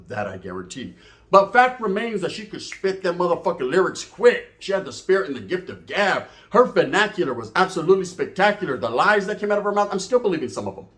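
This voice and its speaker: A man's voice: high voice, a bit bright. A middle-aged man tells a comedic story informally, profane and boastful, wandering off and checking in with tag questions.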